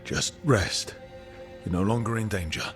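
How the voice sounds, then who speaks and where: An informal, reassuring adventure leader from a video game. His voice is on the low side and a little wry, set over clearly heard music. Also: unhurried, fairly bright tone. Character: casual, reassuring male adventuring party leader. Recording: music under the voice.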